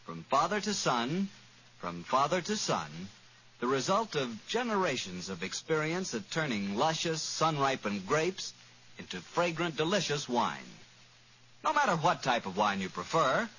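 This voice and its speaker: An American man announcing in a polished 1940s radio style, with a deliberate, smooth sales delivery. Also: a little high, very animated, bright, forceful tone, slight background noise. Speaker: radio announcer and sponsor pitchman. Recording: dull vintage radio broadcast.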